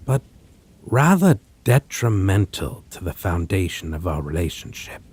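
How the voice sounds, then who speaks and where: Man as a slow, low, possessive vampire. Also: mellow, husky, heavily acted. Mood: reproachful, haughty. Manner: formal, theatrical, ornate wording, lingering pauses. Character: possessive male vampire, menacing, wistful, aristocratic. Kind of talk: dramatic audio roleplay monologue to a listener. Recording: faint noise, rain and thunder ambience.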